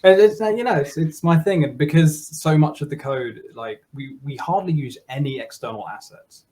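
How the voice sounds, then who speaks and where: A German man in his thirties, a software developer chatting as a guest on a video call, laughing often and restarting his sentences. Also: a little high, normal speed, a little hiss or hum. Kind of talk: casual interview talk.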